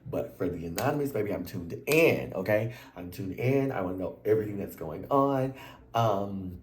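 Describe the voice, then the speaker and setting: A man hosting a reality TV recap in casual, slangy chat, his voice a little worn and hoarse, with sudden jumps into high exclamations. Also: moderate pace, reverberant, slight background noise. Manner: animated and chatty, slangy, leaps into high exclamations.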